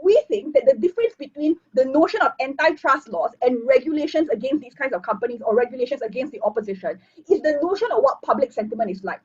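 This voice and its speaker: A woman's voice: high voice, expressive, fast talker, few pauses, breathy edge. A woman giving a fluent, structured competitive debate speech as opposition whip over a video call. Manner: fluent, structured argument, formal debate phrasing. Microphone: computer mic on a video call. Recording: muffled lo-fi online call audio.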